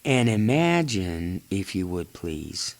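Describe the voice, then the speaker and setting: A man narrating a guided imagery meditation in short, measured phrases with long pauses between them. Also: deep voice, sing-song.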